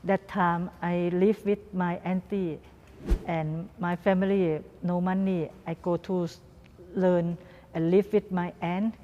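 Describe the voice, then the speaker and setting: A woman from Thailand with a Thai accent, a guest artist answering interview questions in halting, simple non-native English and repeating words. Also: on the low side, flat delivery, highs cut off, slight background noise. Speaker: guest artist and doll maker. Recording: reverberant room.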